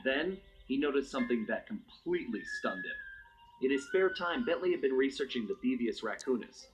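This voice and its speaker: A suave American master thief played by a man tells his own story as a video game narrator. His delivery is wry, confident and unhurried, at a middling male pitch. Music plays beneath the voice. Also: animated, natural voice, poor audio. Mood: concerned. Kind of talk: scripted first-person cutscene narration. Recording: music under the voice.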